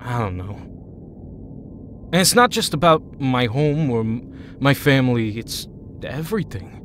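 A man plays a teenage hero and team leader, moody and wounded. His mid-pitched voice is curt and hesitant in audio drama style, with faint ambience behind it. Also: lively intonation, measured pace, a bit bright, a little hiss or hum. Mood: troubled, weary.

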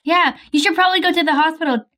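A woman's voice: very high voice, fast, fairly bright tone. A woman voicing a caring mother in a game roleplay, fussing over her kids. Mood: worried. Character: caring mom of the household.

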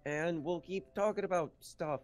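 Guy playing a sweetly dumb naive roommate for minecraft roleplay, pretty high pitched, earnest. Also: normal speed, soft background music, lightly acted. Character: naive, earnest, dim-witted male roommate. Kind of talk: improvised Minecraft roleplay acting.

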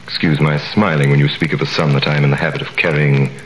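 An actor playing a cool, wealthy, mysterious nobleman in a 1930s radio drama, suave, measured and quietly superior. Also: rumbling low, little pitch movement, a little hiss or hum. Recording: echoey vintage radio recording with music.

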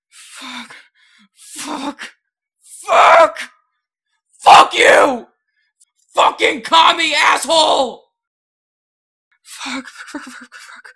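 An actor playing a troubled, volatile teenage boy in an audio drama, speaking in a halting, repetitive, distraught way. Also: extremely high pitch, full character voice. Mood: frantic, furious. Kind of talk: emotional audio drama acting. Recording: loud music behind the voice.

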